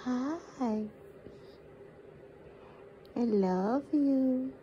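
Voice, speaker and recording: A young woman, a mother, narrating a casual family vlog at home into a close-held phone, softly cooing to her baby. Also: high voice, drawn-out words, lo-fi sound, a little hiss or hum. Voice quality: soft, cooing baby talk. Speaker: vlogger mother. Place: home living room. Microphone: phone camera held close.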